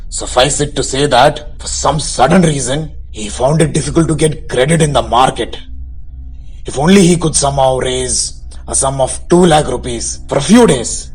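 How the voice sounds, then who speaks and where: Radio play narrator , a man: mid-range voice, literary and measured delivery, music underneath. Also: medium pitch, close to an everyday voice, low-quality recording. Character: storyteller recounting a tragic marriage.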